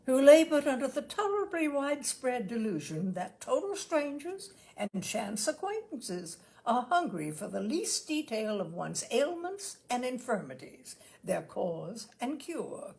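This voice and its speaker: A woman narrating a literary short story with dramatic, deliberate phrasing. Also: on the high side, sing-song, creaky, darkish tone. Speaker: story reader. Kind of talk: expressive scripted literary narration.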